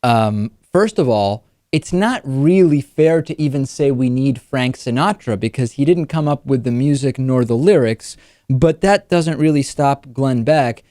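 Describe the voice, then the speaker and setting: A man's voice: mid-range voice, lively intonation, measured pace, dark tone, no room sound, studio quality. A man hosting a political talk show, giving conversational commentary.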